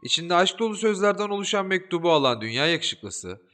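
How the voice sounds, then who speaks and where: A man narrating a fairy-tale audiobook in an expressive, lilting storytelling manner. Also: high voice, brisk. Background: soft background music.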